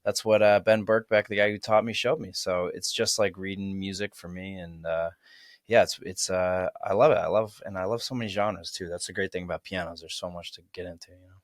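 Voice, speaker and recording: A young man in his twenties from Maine, a guest talking about himself in a relaxed, friendly way. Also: deep voice, brisk, dry recording, clean studio sound. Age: 20s. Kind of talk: casual interview. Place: small studio. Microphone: studio mic on arm.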